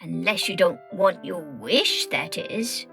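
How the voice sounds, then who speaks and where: A woman's voice: on the low side, expressive, vocal fry, faint music behind, full character voice. A woman voicing a haughty, demanding male magpie in a children's story, with a screechy, imperious, complaining delivery. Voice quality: bright, sharp, screechy. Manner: imperious, fussy, drawn-out complaining.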